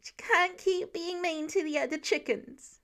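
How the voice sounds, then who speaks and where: Woman voicing an upset, high-pitched pleading little boy. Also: measured pace, fairly bright tone, exaggerated voice. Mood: upset, indignant.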